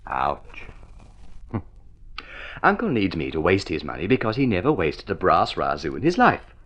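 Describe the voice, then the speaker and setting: An actor playing a flippant, good-natured young wastrel with a breezy, bantering manner, in old radio drama style. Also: male voice, low voice, huge pitch swings, moderate pace, fairly bright tone, muffled recording, heavily acted. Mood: teasing. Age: young adult. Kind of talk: vintage Australian radio drama acting.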